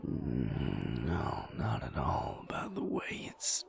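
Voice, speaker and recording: A man playing an amorous, teasing vampire lover in an intimate romantic audio roleplay, murmuring slowly in a breathy, gravelly whisper with soft hums. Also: extremely low pitch, big pitch swings, exaggerated voice. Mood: smitten, teasing. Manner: slow, murmuring, lingering over words with soft hums.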